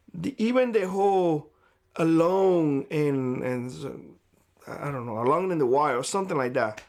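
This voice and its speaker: A man with a fairly high voice talks straight to camera in a vlog. His voice is hoarse, as if he is losing it. His advice is blunt and lecturing. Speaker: prepper YouTuber. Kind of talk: direct-to-camera vlog monologue. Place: homestead.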